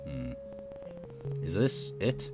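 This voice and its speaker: A young man reading a visual novel aloud in a halting way, with casual reactions of his own. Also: a bass voice, sing-song, noisy background. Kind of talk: let's play, reading game text aloud with casual reactions. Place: indoor room at home.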